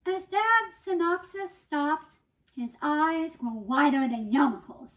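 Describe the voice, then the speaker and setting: A woman with a strikingly high voice performs a comic monologue in a theatrical style. Her pitch swings widely from phrase to phrase. She takes her time, leaning on dramatic, emphatic pauses. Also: a bit bright, rough recording. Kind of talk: theatrical first-person comic monologue reading.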